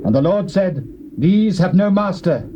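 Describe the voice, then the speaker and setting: An actor playing a solemn, defiant prophet of God, declaiming in measured phrases in a dramatized Bible reading. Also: male voice, high voice, animated, dark tone. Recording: muffled old cassette, faint noise.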